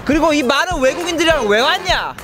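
A man in his thirties hosts a casual vlog. His voice is strikingly high and swings animatedly in pitch. Clear music plays under his talk. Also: animated, fast. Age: 30s. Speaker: YouTube channel host. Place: city street outside a subway exit.

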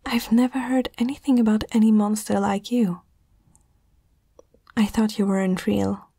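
A woman whispering softly and tenderly in a breathy voice, in a reassuring ASMR comfort roleplay, close to the microphone. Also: medium pitch, level tone, moderate pace, dry recording.

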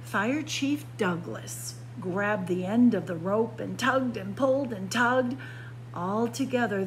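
A woman reading a children's picture book aloud as a story time narrator, with expressive, dramatic phrasing. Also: rough recording. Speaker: library story time reader. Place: indoors by bookshelves. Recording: clear background noise with low hum.

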